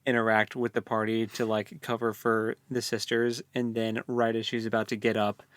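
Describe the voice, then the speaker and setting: A man's voice: fairly low pitch, level tone, normal speed, fairly bright tone, dry recording. A man co-hosting a podcast, chatting about a book in an informal, slangy, loosely phrased way. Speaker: podcast co-host. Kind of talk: casual book discussion chat.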